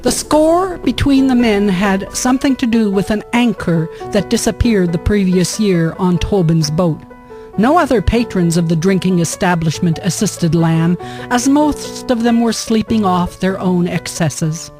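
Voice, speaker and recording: Female narrator, mid-range voice, scripted historical radio drama storytelling, music clearly underneath. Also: mid-range voice, unhurried.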